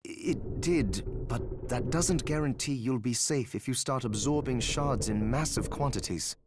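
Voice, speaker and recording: An actor playing a grave, protective male alchemist in a fantasy video game, speaking in an earnest, measured, formal way. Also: medium pitch, lightly acted. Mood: warning, concerned. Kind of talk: dramatic video game voice acting. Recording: noisy game sound behind voice.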